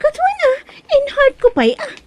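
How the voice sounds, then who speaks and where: A woman's voice: very high-pitched, wildly swooping pitch, moderate pace, darkish tone, dry recording, exaggerated voice. A woman with an Ilocano accent playing a boastful, materialistic young ex-girlfriend in a comedy drama. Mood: boastful, giggly. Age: young adult.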